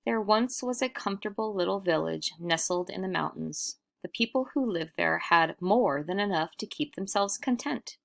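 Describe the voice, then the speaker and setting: A woman reading a children's story aloud in expressive, scripted narration. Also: mid-range voice, moderate pace, creaky, hardly any pauses.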